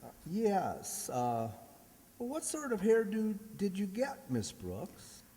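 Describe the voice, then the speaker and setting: A man's voice: high-pitched, wildly swooping pitch, slow, warm tone, exaggerated voice. A man playing a bashful, earnest schoolteacher suitor, hesitant and stiff, in a radio comedy. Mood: bashful, pleased. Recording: echoey hall, long reverb.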